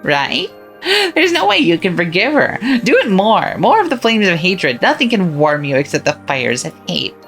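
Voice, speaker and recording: A woman's voice: fairly low pitch, big pitch swings, normal speed, fairly bright tone. A woman voicing a cruel, cackling witch who mocks and taunts, with faint music behind. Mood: menacing. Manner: mocking, taunting, frequent cackling laughter. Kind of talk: visual novel voice acting.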